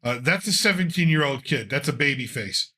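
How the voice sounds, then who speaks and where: A man's voice: medium pitch, expressive, fairly quick, sharp tone. A man hosting a casual talk show, commenting on news in a loose, rambling way, and congested from a cold. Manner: loose, rambling, with frequent uh fillers. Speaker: podcast host.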